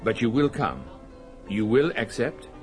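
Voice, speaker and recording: An actor playing an anxious, courteous archaeologist husband who speaks formally and earnestly in a radio drama. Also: male voice, mid-range voice, very animated, measured pace, soft background music.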